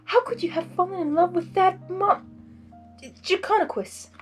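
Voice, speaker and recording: A woman's voice: very high-pitched, normal speed, faint music behind, pitched above the performer's own voice. A woman voicing a refined, dramatic and caring young female cartoon pony with a genteel manner. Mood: concerned.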